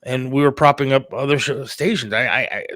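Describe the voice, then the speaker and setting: A man hosting a talk show in casual conversation, with hesitant, halting phrasing. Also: mid-range voice, a bit bright, dry recording, clean studio sound. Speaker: talk show host, radio producer.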